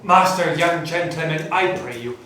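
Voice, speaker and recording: A woman doing a humble old father, voice dropped low. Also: moderate pace, a bit bright. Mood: pleading. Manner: pleading, deferential. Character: poor, half-blind old father, male, humble. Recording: echoey hall from far off, faint music.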